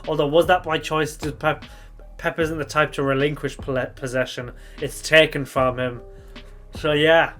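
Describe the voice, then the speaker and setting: A young man with a slightly croaky voice from shouting, chatting casually through a football reaction vlog, thinking aloud and correcting himself as he goes. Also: on the high side, fairly even intonation, moderate pace, faint music behind. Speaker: football fan channel host.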